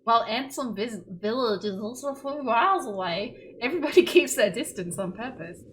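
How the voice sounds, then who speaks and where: A woman streamer reading a game's story aloud in an expressive storytelling manner. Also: on the high side, a little fast, a little hiss or hum.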